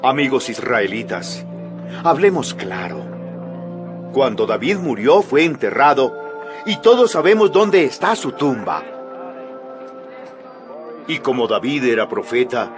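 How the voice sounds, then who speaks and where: An actor playing a fervent apostle who preaches boldly to a crowd in a dramatized audio Bible, declaiming emphatically. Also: male voice, mid-range voice. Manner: declamatory, emphatic public address. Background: background music.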